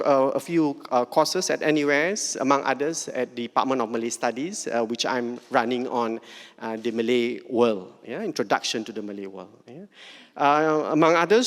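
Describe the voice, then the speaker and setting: A man's voice: medium pitch, in a large hall. A middle-aged man, introducing a speaker at a forum, hesitant with many fillers and frequent "yeah?" checks. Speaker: forum moderator and lecturer.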